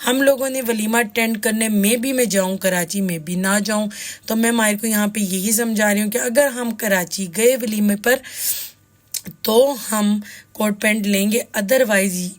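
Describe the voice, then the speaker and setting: A hoarse woman talks over her own vlog footage in a relaxed, chatty way. She speaks straight to her viewers, sprinkling in polite "ji"s and firm little pieces of advice. Her voice sits at an ordinary middle pitch. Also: unhurried. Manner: chatty, addresses viewers with frequent "ji", opinionated tips. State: hoarse, sore throat. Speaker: vlogger, mother. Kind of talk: casual shopping vlog voice-over.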